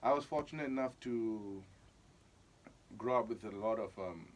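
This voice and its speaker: A man's voice: fairly low pitch, moderate pace. A young man with a Singaporean English accent, talking in a loose, chatty way. He laughs easily and repeats phrases as he thinks. A faint hum of noise sits behind his voice. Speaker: guest, actor. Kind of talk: casual interview chat.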